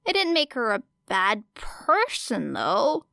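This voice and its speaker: A woman narrates fiction in a fairly high voice. She reads the scripted prose expressively, as an audiobook reader would. The recording is close and very dry, with hardly any room sound. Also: wildly swooping pitch, slow pace, creaky, a bit bright, no room sound.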